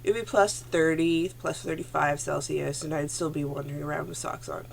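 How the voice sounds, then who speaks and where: A woman with a lowish, gravelly voice and a phlegmy throat chats casually about her knitting into a computer camera at home. She rambles and corrects herself as she goes, and a faint hum sits underneath. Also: steady tone, moderate pace. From Canada. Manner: chatty, rambling, self-correcting. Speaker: knitting podcast host. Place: home room. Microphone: computer camera at desk.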